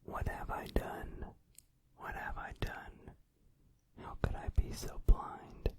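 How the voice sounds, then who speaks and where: Sad skeleton guy blaming himself, soft whispered cover, real slow. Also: no room sound, lightly acted. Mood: despairing. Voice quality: whispered, unvoiced. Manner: lamenting, self-reproaching. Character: despairing skeleton man, remorseful king. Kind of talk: whispered song cover, ASMR.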